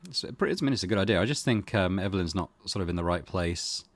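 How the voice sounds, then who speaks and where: A man's voice: sits low, animated, racing, dry recording. A man hosting a let's play, reading game text aloud and commenting in an informal, offhand way. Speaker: gaming host.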